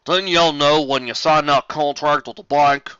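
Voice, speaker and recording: A reader voicing a big angry farm stallion with a Southern accent who growls and yells. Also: mid-range voice, steady tone, normal speed, clean tone, no echo, dull audio, voice pushed up, close to an everyday voice. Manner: growling, yelling.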